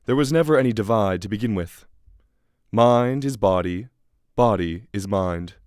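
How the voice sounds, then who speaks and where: Guy doing musing philosophical narrator, dramatic pauses, lowish voice, very dry recording, radio play. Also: a little mellow, dry recording, lightly acted. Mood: musing, intriguing.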